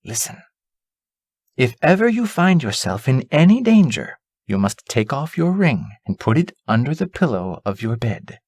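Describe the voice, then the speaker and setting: A man voicing a very old, kindly lady in a storybook, giving instructions in a solemn, measured way. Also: mid-range voice, big pitch swings, a little mellow, no room sound, studio quality, voice pushed up.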